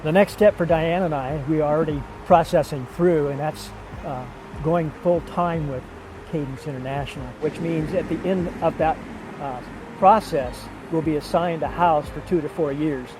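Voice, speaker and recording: A man speaking earnestly and in measured phrases, giving a personal testimony as a missionary. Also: fairly high pitch, rough recording. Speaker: missionary couple member seeking support. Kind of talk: documentary-style personal testimony and appeal. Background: background music.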